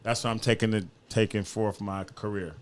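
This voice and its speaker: A man's voice: on the low side, level tone, normal speed. A man from upstate New York talking casually as an interview guest, using slang and repeating his phrases. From Buffalo, New York, United States. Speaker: podcast guest, fashion designer.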